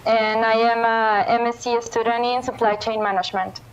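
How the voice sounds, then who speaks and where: A student, introducing herself on a webinar. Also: female voice, fairly high pitch, little pitch movement, moderate pace, clear, resonant tone. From Mexico. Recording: dull online call.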